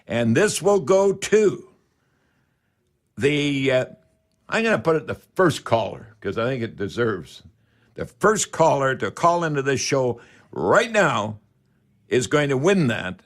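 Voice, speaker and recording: A man hosting a casual live radio show, talking in a folksy, rambling way. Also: mid-range voice.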